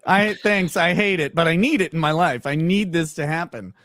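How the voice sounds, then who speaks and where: A man hosting a livestream, telling profane, animated stories in casual banter. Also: fairly high pitch, moderate pace, no echo, clean studio sound. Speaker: host. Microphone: desk mic on an arm.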